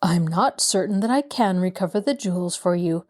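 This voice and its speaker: A woman voicing a courteous middle-aged male lawyer who speaks in a measured, reassuring way. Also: fairly low pitch, animated, darkish tone. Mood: calm.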